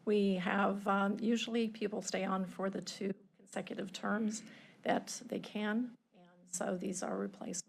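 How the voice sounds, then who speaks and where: A woman's voice: medium pitch, flat delivery, normal speed, vocal fry. A woman speaking as a county staff member, giving formal answers at a public meeting.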